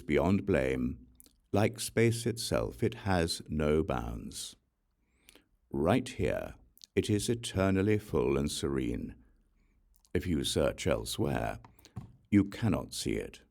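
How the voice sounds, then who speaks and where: A man narrating an audiobook of sacred verse, with measured, deliberate phrasing and pauses between phrases. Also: extremely low pitch, creaky, darkish tone.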